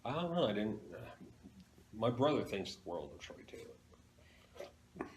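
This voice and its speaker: Low-voiced man chatting over room noise. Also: big pitch swings, a little slow. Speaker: comic book fan in conversation. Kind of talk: casual chat. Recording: noisy room ambience.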